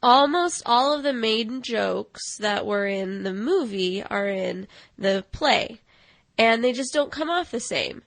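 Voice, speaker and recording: A woman with a slightly high voice, recorded dry and close. She chats casually as a podcast co-host, telling things in a loose, conversational way. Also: lively intonation, takes it slowly, vocal fry, no echo. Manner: chatty, loose, filler-heavy storytelling with "like". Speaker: podcast co-host reviewing animated films. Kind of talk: casual chat.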